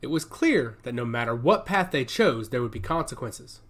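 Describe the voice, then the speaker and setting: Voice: mid-range voice, lively intonation, normal speed, fairly bright tone. A narrator reading a dramatic scripted story in the manner of an audiobook.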